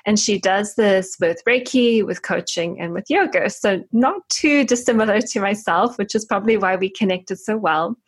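A woman hosting a wellness podcast, introducing her guest with enthusiasm and quick bursts of speech. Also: mid-range voice, husky. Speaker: podcast host. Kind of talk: podcast interview.

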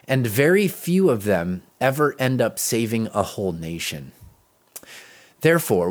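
A man delivers a scripted devotional in an earnest, pastoral way. His mid-pitched voice moves up and down freely, and he leans on key words with deliberate pauses. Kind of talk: devotional reading, scripted narration.

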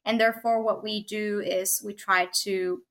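A woman from Argentina, a technology consultant, speaking as a guest in a podcast interview, thoughtful, with frequent pauses and tag questions. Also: mid-range voice, steady tone, a bit bright. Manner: thoughtful, many fillers and restarts, frequent "right?".

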